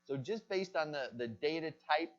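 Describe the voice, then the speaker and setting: A man's voice: a little high, expressive, moderate pace. A man teaching a pharmacy class, recorded in a classroom. He asks the students questions and then answers them himself. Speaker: pharmacy college lecturer. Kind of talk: classroom lecture. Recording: dull lo-fi lecture recording.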